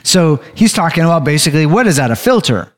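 Halting man leading a bible study. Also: fairly high pitch, sing-song. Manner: halting, staccato speech with stops. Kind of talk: teaching a group discussion.

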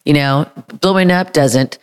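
A woman with a deep voice sharing candidly in a church group, emotional and halting, with many fillers. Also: smooth. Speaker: group member.

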